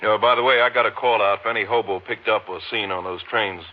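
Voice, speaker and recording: A man's voice: fairly low pitch, steady tone, fast, a little hiss or hum, close to an everyday voice. A man playing a plain-spoken county sheriff in a 1950s radio western, talking in a folksy, colloquial way. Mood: cooperative. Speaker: supporting actor in a radio western. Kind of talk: 1950s radio drama acting. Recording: muffled vintage radio recording.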